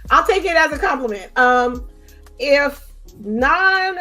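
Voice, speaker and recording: A woman's voice: high-pitched, expressive, slow pace, pushed and bright, soft background music. A woman with an American accent hosting a casual gaming podcast and reading listener questions aloud to her co-hosts. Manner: reads questions aloud, apologetic and laughing. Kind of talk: casual chat.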